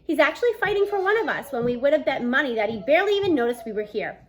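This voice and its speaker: A woman in her thirties reading a children's novel aloud as an expressive narrator, recorded at home on a device mic. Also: sits high, rapid-fire, noisy background. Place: small room at home, indoors.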